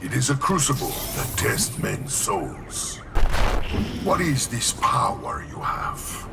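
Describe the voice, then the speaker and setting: A man's voice: mid-range voice, huge pitch swings, slow, creaky, very noisy, exaggerated voice. An actor playing a hulking, menacing male brute in a video game, with stiff, formal phrasing. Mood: grandiose menace. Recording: voice under loud game music.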